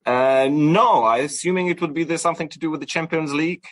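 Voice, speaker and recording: A man's voice: fairly high pitch, quick pace. A young man from Ukraine, chatting as a podcast guest with many fillers and restarts. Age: young adult. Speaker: supporters club guest. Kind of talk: casual podcast interview.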